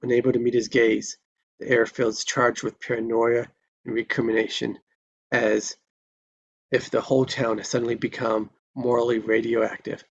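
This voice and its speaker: Gravelly, flat-toned man reading stage directions. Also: medium pitch, normal speed, no room sound, lo-fi sound. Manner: stumbles over and corrects words. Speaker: screenplay narrator. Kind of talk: live table read of script narration.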